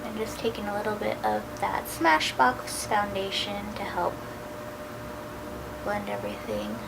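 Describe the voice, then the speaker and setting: A woman's voice: medium pitch, creaky, noisy background. Chatty woman in her thirties with a cold. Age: 30s. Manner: casual and chatty, drifting into soft near-whispers. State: has a cold, a little nasal. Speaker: beauty vlogger. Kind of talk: chatty makeup tutorial.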